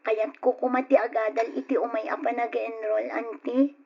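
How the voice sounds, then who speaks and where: A woman's voice: fairly high pitch, level tone, normal speed, vocal fry. A woman playing a young, mistreated orphaned niece, plaintive and pleading, in old Ilocano radio drama style. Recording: dull lo-fi old radio recording.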